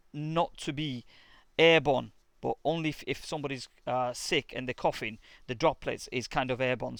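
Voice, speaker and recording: A man who runs a repair workshop, talking casually and haltingly while testing an earpiece mic as he walks around his workshop. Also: medium pitch, gravelly, a bit bright, no room sound. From England. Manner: rambling and hesitant, many fillers and "basically". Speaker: repair shop owner reviewing a product. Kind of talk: casual product test vlog. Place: indoor workshop, walking between rooms. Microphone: wireless earpiece, later a wired headset.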